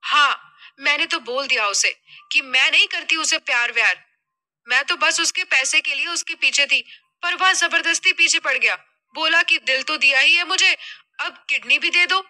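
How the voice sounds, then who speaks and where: A woman's voice: sits high, normal speed, pushed and bright, voice pushed up. A woman voicing a vain, spoiled young daughter in an audio drama, with a whiny, indignant, dramatic manner. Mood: whiny complaining.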